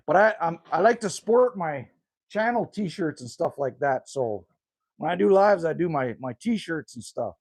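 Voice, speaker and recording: A man's voice: fairly high pitch, animated, a little slow, gravelly, pauses often, dry recording, clean studio sound. A man, chatting casually and rambling with viewers as a livestream host outdoors by a rural cabin. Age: 50s. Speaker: livestream host reading chat.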